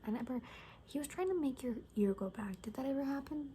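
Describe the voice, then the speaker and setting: A woman playing a gossipy, rambling zombie apocalypse survivor in a soft-spoken, whispery ASMR roleplay, talking hesitantly and conspiratorially. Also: sits high, lively intonation, fast, a little hiss or hum. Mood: rambling, distracted.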